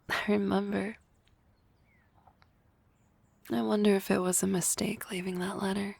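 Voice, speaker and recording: A woman voicing an energetic young female harpy classmate in an ASMR roleplay, talking softly and often in a whisper with a teasing manner. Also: medium pitch, gravelly, breathy edge, no echo. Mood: hurt.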